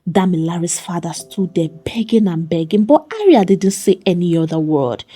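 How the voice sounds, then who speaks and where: A woman telling a folk tale in an animated storytelling style. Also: fairly low pitch, moderate pace, breathy edge.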